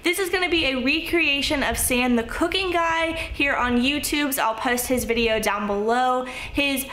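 Bubbly high-voiced woman in echoey kitchen. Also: moderate pace, a bit bright. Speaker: cooking vlogger. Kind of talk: casual on-camera intro. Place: home kitchen, indoors.

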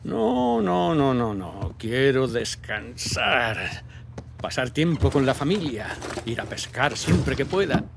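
A contented businessman near retirement in a cinematic game. His voice is creaky and slow, with an easygoing lilt that moves around, over faint ambient noise. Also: medium pitch, sing-song, full character voice. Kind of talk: Spanish dub of cinematic game drama.

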